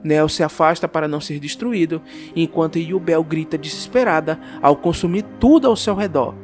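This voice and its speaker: Man, Brazilian accent, high voice, epic scripted narration, theatrical, music underneath. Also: moderate pace, darkish tone. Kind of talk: scripted dramatic story narration.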